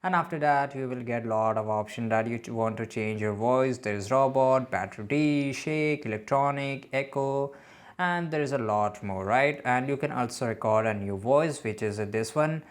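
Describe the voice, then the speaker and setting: A man's voice: mid-range voice, moderate pace. Man narrating a casual step-by-step tutorial. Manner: often tags sentences with "right?". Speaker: tutorial host.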